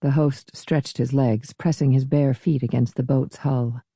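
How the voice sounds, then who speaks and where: A woman's voice: a bass voice, steady tone, measured pace, dark tone, breathy edge, dry recording. A woman reading scripted literary narration for an audiobook.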